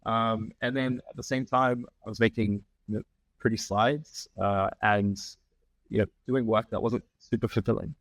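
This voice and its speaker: A man in his thirties with an Australian accent, a podcast guest explaining his work in a measured way, with frequent "um" fillers. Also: on the low side, steady tone, dry recording, low-quality recording. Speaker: podcast guest, founding partner. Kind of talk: conversational interview.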